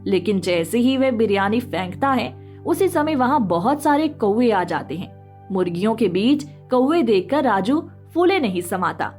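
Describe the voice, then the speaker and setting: A woman's voice: a little high, expressive, moderate pace, darkish tone. A woman reading scripted narration for a moral story as the storyteller. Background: background music.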